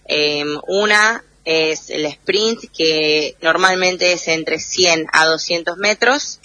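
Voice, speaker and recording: A woman from the United States talks by phone with a radio show. She speaks slowly and explains her sport in a friendly way. Her bright voice comes through a phone line that keeps breaking up. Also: low-pitched, dry recording. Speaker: athlete guest. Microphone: phone call over messaging app.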